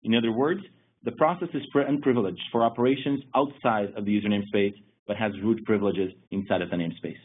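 A man's voice: lowish voice, fast talker, slightly rough. Man explaining tech over a tinny line. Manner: explanatory, steady, with occasional restarts. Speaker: security lead presenting a webinar. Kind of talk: technical webinar presentation.

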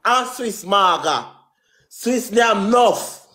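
Very animated high-voiced Jamaican man, echoey room. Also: slow pace. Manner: excitable, exclamatory. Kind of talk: casual comic storytelling on a livestream. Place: indoors in a small bare-walled room. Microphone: phone close in front, split-screen live call.

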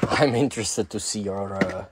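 Low-voiced man rambling while working. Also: measured pace, heavy background noise. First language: Dutch. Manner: rambling, many fillers and restarts, muttering to himself. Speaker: online brick store owner. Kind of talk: casual chat while working. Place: cluttered attic storeroom, indoors. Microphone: body-worn camera.

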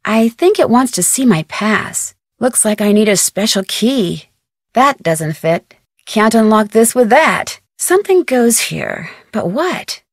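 An actress playing a capable young female detective in a video game, speaking in a measured, friendly, matter-of-fact way. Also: a little high, sing-song, no echo, studio quality, exaggerated voice. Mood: thoughtful, practical. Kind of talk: video game voice acting, scripted instructions and remarks.

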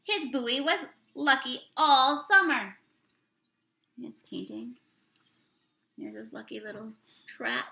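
Bright, creaky young woman reading to children. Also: high voice. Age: young adult. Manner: expressive, drawn-out words, asks the listeners questions. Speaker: teacher reading a picture book aloud. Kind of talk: read-aloud storytelling for children, talking through pictures. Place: small room indoors.

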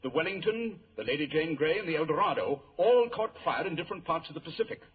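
A man's voice: fairly high pitch, lively intonation, quick pace, natural voice. A man playing a brilliant, imperious consulting detective with a British accent and clipped, precise delivery in a radio drama. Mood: keen, clipped. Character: brisk, irritable, brilliant male consulting detective. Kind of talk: 1940s radio drama acting. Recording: tinny, hissy old radio recording.

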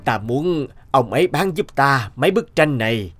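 A man acts out an older gentleman in an animated morality tale. He is kindly and careworn, speaking earnestly with some hesitation. There is faint music behind the voice. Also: mid-range voice, normal speed, natural voice. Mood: worried. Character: worried, kindly older man seeking help for charity. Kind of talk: animated cartoon dialogue.

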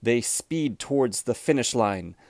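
A man reads a children's storybook aloud with deliberate, expressive phrasing. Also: medium pitch, dry recording, studio quality. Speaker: storybook reader.

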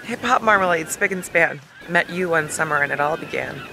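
A woman in her thirties giving a dramatic, mock-emotional reading of song lyrics, with halting, deliberate pauses, recorded outdoors on a handheld camera. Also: low voice, lively intonation, creaky, background noise. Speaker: comedic video host. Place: lying outdoors in a hammock. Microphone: camera held at arm's length.

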